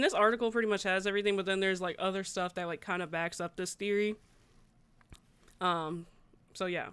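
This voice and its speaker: A woman's voice: medium pitch, a bit bright, smooth, no echo. A woman hosting a gaming livestream, chatting informally with viewers and reading news aloud in a conversational way. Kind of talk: casual livestream chat, reading articles aloud and reacting.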